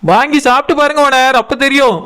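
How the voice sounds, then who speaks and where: A performer plays a chatty cartoon idli seller with a high voice and a Kanyakumari Tamil accent. The patter is boastful and repetitive, turning deferential and pleading with customers. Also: fast talker, pushed and bright. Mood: eager, defensive. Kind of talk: comic cartoon dialogue.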